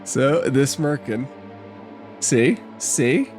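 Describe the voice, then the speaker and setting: A man's voice: mid-range voice, animated, very slow, darkish tone, faint music behind. A man casually narrating a tabletop game as game master and asking players what they do.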